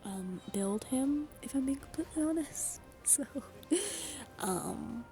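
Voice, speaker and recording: A young woman talks in a hushed whisper, rambling gently with frequent ums. Her voice is mellow and a little raspy from a dry throat. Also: high voice, lively intonation, takes it slowly, faint music behind. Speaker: gaming YouTuber. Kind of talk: soft-spoken whispered gameplay chat.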